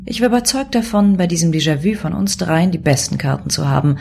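A woman's voice: on the low side, quick pace, creaky, darkish tone. An actress playing a cool, determined, sardonic female government commissioner in a German science fiction audio drama. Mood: brooding, resolute. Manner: sardonic, self-assured. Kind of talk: German audio drama acting with inner monologue. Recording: music bed behind the voice.

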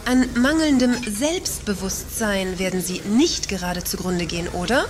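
A woman's voice: mid-range voice, expressive, moderate pace, natural voice. A woman playing a dryly ironic shopper in a satirical radio play. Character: dry ironic female shopper keeping score. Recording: clear background ambient noise.

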